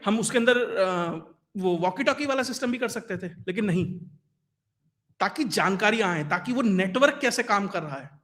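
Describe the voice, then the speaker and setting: A man's voice: sits high, a bit bright. A man giving conversational, explanatory news commentary, emphatic, with rhetorical questions and lists. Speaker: commentator and host of an online current affairs show.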